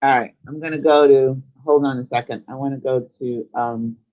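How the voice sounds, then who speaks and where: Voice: medium pitch, fairly even intonation, normal speed, muffled recording. A live stream host chatting casually and informally, thinking aloud while giving a tour of a virtual world.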